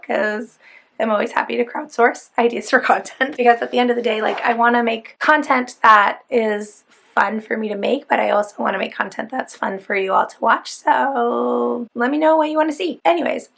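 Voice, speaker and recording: A woman's voice: fairly high pitch, vocal fry, fairly bright tone. A woman hosting her own book vlog, talking casually and chattily with lots of fillers. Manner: chatty, upbeat, rambling with fillers. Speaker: book vlogger.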